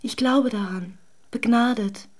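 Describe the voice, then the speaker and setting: A woman's voice: a little high, a little slow. An actress playing an adoring, despairing woman in a secret love affair, in an intimate, emotional radio drama. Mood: fervent, hopeful.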